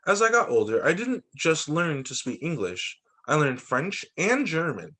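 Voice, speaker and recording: A man reading a picture book aloud to children as a teacher, in an expressive, dramatizing way. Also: on the high side, measured pace. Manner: expressive, dramatizing quoted lines.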